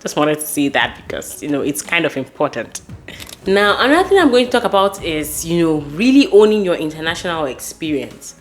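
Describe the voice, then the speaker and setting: A woman's voice: deep voice, sing-song, a little fast, faint music behind. A woman from Nigeria with a Nigerian accent gives casual advice to viewers as a host, speaking emphatically and repeating key words. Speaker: host giving career advice.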